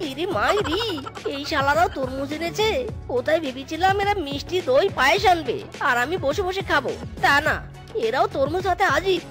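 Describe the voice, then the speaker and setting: A greedy, boastful cartoon fox husband, acted by a woman, speaks extremely high. He wheedles, exclaims and grumbles in comic style, with clear music playing behind. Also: animated, normal speed. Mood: grumbling. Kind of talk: Bengali animated comedy story dialogue.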